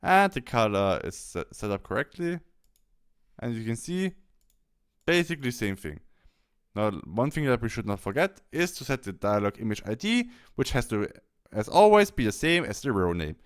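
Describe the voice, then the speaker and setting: A man presenting a software tutorial, explaining each step as he works, with keyboard and mouse clicks. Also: medium pitch, very animated, moderate pace, smooth, no echo, studio quality. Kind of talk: software tutorial narration, explaining steps while working.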